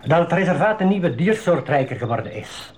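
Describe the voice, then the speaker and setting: A man acting a knowledgeable, calm reserve guide in a 1980s school radio drama, explaining things in a measured, articulate way. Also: a little high, slight background noise. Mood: earnest, informative.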